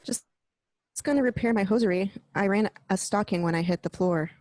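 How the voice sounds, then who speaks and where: Breezy young heroine voiced by a woman, mellow tone, dry booth sound. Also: mid-range voice, a little fast, no room sound, natural voice. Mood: playful. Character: Barbara Gordon/Batgirl, young woman, breezy and coy. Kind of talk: amateur radio play reading.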